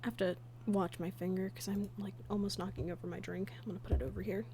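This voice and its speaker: A woman chatting casually on a livestream as she works, halting and half talking to herself in an absent-minded way. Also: medium pitch, flat delivery. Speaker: livestreamer and comic dub creator. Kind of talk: casual livestream chat while working. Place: indoors at a computer, streaming.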